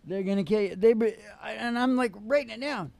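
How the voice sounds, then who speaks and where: A man's voice: high-pitched, fairly quick, vocal fry, darkish tone, no echo. A middle-aged man in casual, rambling, jokey banter, talking into a stage microphone as a podcast host and musician. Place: small indoor stage with curtain. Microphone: stage microphone on a stand.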